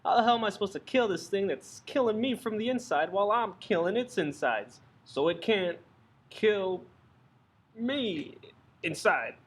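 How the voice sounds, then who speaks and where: A man's voice: high voice, expressive, moderate pace. Man playing a swooping, boozy, bitter husband. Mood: bitter, anguished. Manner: melodramatic, gruff slangy ranting. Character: bitter, boozy working-class husband in gloomy melodrama parody. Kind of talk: old-fashioned radio play acting.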